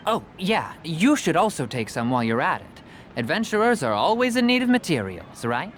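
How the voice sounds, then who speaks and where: A man's voice: fairly high pitch, very animated, fairly quick, a little hiss or hum. An actor playing a jovial, persuasive, talkative merchant with a theatrical salesman's manner in an English game dub. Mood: breezy, generous. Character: glib, jovial travelling merchant man, a persuasive storyteller.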